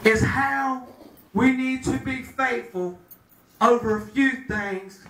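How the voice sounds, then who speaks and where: A man's voice: very high voice, takes it slowly. Male pastor, middle-aged, fiery sermon style; recorded from far off in an echoey room. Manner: preaching with rising shouts and call-and-response. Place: small chapel room with a pulpit. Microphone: handheld mic, filmed by a far camera.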